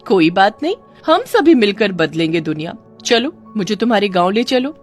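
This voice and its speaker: A woman's voice: medium pitch, sing-song, normal speed, soft background music. Woman playing a kind, determined schoolteacher. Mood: encouraging. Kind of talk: children's moral story voice acting.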